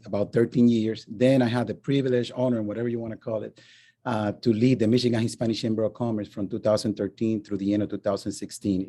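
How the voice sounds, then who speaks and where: A man from the Dominican Republic speaks over a video call. His voice is mellow and relaxed. He talks in an earnest, proud way, walking through his own story. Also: on the low side. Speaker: business development panelist. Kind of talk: video call self-introduction.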